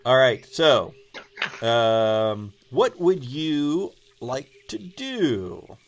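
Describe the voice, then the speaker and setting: A man acting as game master and host, improvising narration and explaining rules in a halting, thinking-aloud way. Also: mid-range voice, sing-song.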